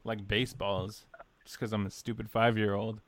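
A man's voice: lowish voice, level tone, moderate pace, dry recording. A man co-hosting a podcast with casual, crude sports banter. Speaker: podcast co-host.

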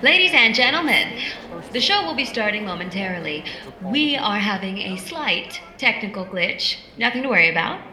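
A woman's voice: medium pitch, big pitch swings, moderate pace, sharp tone. A woman playing a composed, reassuring theatre announcer making a public announcement. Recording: echoey hall, faint noise.

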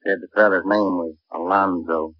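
An easygoing American detective sergeant acted by a man talks low and plainly to suspects and partner. The sound is dry, dull 1950s radio drama. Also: fairly even intonation, moderate pace, dry recording, natural voice. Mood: low-key. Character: easygoing male police sergeant, the lead's partner.

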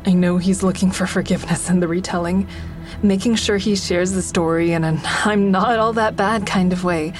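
Casting: actress for guarded female trauma survivor, lower register, introspective audio-drama narrator, music underneath. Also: fairly even intonation, moderate pace, natural voice. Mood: haunted. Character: Haven, traumatized woman survivor narrating, fearful, guarded, hardening. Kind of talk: first-person narration and dialogue in audio drama.

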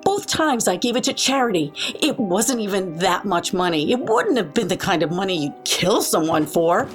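A woman's voice: medium pitch, big pitch swings, normal speed, soft background music, lightly acted. A woman playing a defensive, rattled professional caregiver in an audio drama, earnest and emphatic. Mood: defensive, indignant. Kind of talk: naturalistic audio drama acting.

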